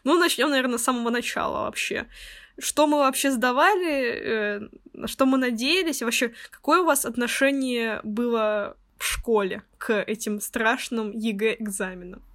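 A young woman in her twenties hosts a podcast with her friends, with a fairly high voice. She talks quickly and playfully and slips into cheerful exaggeration. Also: fairly bright tone. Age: 20s. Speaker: podcast co-host. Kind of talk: casual podcast chat.

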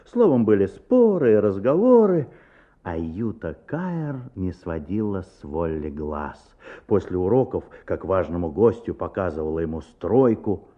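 A man playing the gently amused narrator of a radio play for children. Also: low voice, big pitch swings, measured pace, exaggerated voice. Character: storyteller narrating a schoolboy tale, gently amused. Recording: tinny old radio play recording.

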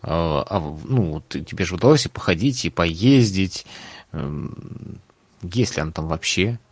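Мужчина, ведущий непринуждённой беседы о личном опыте, говорит с запинками, повторяя начала слов. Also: male voice, low voice, animated, measured pace, husky, no room sound. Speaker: host. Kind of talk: casual travel conversation.

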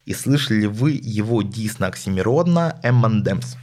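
A man's voice: fairly low pitch, expressive, moderate pace, darkish tone. A man answering listener questions on a podcast as a music critic, casual and rambling, with frequent hesitation sounds.

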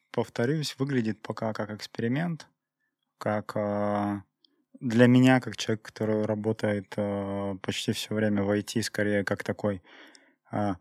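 A man talking conversationally on a podcast, explaining and reasoning aloud in a measured way. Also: lowish voice, no echo, studio quality. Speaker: podcast co-host, IT professional. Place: podcast recording studio. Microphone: close studio microphone.

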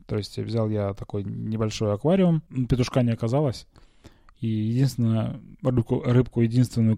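A man hosting a personal podcast from a quiet bedroom, rambling casually and hesitantly through everyday stories, a little congested from a cold. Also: fairly low pitch, no echo, studio quality. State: recovering from a cold, slight cough. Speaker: podcast host. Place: small quiet bedroom, lying on a bed.

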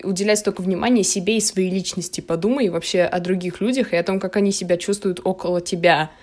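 A woman's voice: lowish voice, steady tone, fast talker, darkish tone. A woman of nineteen speaking casually and emphatically in a slangy, opinionated way, hosting a discussion and sometimes dropping in English phrases. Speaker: discussion host asking questions.

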